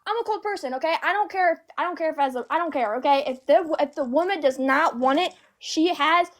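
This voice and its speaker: A woman's voice: high voice, a little fast. An eighteen-year-old woman with a babyish voice, arguing hesitantly as a caller and leaning on filler words.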